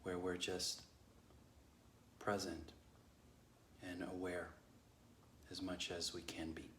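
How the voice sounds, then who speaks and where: A man leading a guided meditation in a measured, unhurried way with long pauses between phrases. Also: low voice, flat delivery, fairly bright tone, reverberant, a little hiss or hum. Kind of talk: guided meditation, slow instruction.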